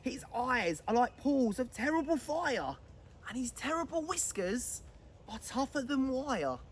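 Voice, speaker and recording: A woman's voice: high voice, big pitch swings, unhurried, a little hiss or hum. A woman voicing a big monster father in a storybook, telling a scary tale with forgetful bluster. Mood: ominous.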